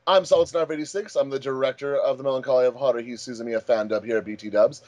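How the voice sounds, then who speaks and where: A man's voice: medium pitch, fairly even intonation, quick pace, a little mellow, no room sound. A man hosting a casual commentary track as a fan dub director, chatty and jokey.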